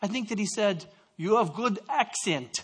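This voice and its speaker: A man's voice: high voice, expressive, moderate pace, fairly bright tone, husky. A man from Canada preaching a sermon, telling stories with self-deprecating asides and stumbling restarts. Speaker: pastor.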